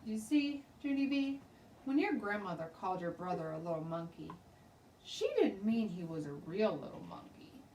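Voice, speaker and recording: A woman voicing a patient male school principal, gently explaining in a measured way in a children's storybook read-aloud. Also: mid-range voice, animated, lo-fi sound, lightly acted. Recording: roomy, distant mic, faint noise.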